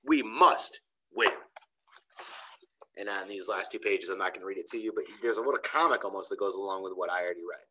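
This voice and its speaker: A man's voice: low voice, racing, few pauses, fairly bright tone, a little hiss or hum, rough recording. A man in his forties, a schoolteacher, reading a children's storybook aloud with expression. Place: bright indoor school room. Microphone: computer webcam on a video call.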